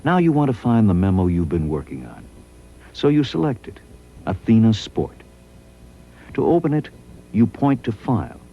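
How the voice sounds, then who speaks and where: A man reading scripted promotional training narration in a measured, instructional way. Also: on the low side, sing-song, dark tone, low-quality recording.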